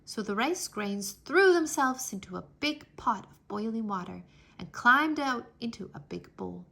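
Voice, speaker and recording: A woman reading a children's story aloud with expressive, dramatic storytelling. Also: fairly high pitch, unhurried, vocal fry. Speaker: children's read-aloud narrator.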